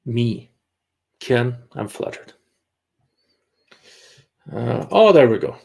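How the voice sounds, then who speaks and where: A man with a gravelly, creaky voice at an ordinary male pitch, hosting a casual live stream. He answers viewer questions bluntly and in his own time, pausing often with "uh" as he thinks aloud. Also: big pitch swings, a little mellow. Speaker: livestream host answering viewer chat.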